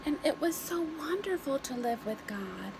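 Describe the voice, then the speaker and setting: A woman reading a story aloud to young children in an expressive, deliberate way, with simple phrases and dramatic pauses. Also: sits high, gravelly, noisy background.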